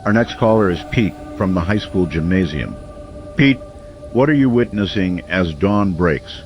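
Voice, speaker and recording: An actor playing a grave late-night radio host, reporting on a crisis in a solemn, measured and deliberate way. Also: male voice, deep voice, lively intonation, faint music behind. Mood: solemn, moved. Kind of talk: dramatic radio news narration. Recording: clear background noise, muffled lo-fi sound.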